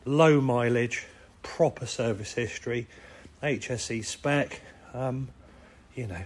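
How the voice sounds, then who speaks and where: A man with a croaky, hoarse voice and a British accent, casually talking through a car's features while filming in an indoor showroom. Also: medium pitch, slow, slight background noise. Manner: relaxed, chatty listing of features. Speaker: car salesman. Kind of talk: walkaround car sales video. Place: indoor car showroom with tiled floor.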